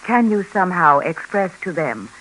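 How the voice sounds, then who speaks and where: An earnest man speaks formally in a scripted vintage radio documentary. His voice is on the high side and bright, with a light layer of old recording noise. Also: sing-song, moderate pace, low-quality recording. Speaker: radio spokesman.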